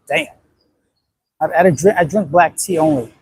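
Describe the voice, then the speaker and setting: A man's voice: a little high, big pitch swings, a little slow, darkish tone, breathy edge, no echo, low-quality recording. A man in his late thirties from Afghanistan, rambling bluntly on a casual livestream and reacting to viewer comments. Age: 30s. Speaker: livestream host. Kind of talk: casual live-stream chat reading viewer comments.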